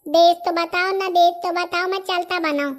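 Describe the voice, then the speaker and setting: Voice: extremely high pitch, steady tone, normal speed. An actor with a Bundelkhandi accent voicing a chatty, stubborn young villager in a comedy cartoon. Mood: stubborn, bargaining.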